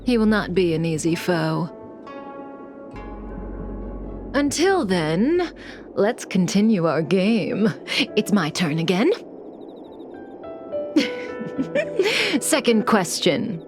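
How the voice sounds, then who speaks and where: An actress playing a calm, teasing, manipulative woman in a video game, measured and coolly playful. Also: medium pitch. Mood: teasing, confident. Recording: music behind the voice. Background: game soundtrack music.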